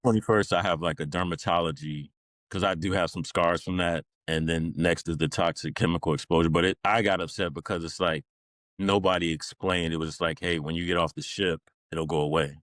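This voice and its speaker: A man's voice: low-pitched, fairly even intonation, a little fast, fairly bright tone, no room sound, studio quality. A man, middle-aged, talking informally as an interview guest, rambling through stories in a casual conversational style. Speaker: podcast guest, Navy veteran.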